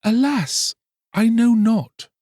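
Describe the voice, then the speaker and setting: A man voicing a gentle, wistful young mermaid in a storybook, with slow, archaic speech. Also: extremely high pitch, lively intonation, no room sound, clean studio sound, voice pushed up, exaggerated voice. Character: wistful, gentle little mermaid, a young female sea creature.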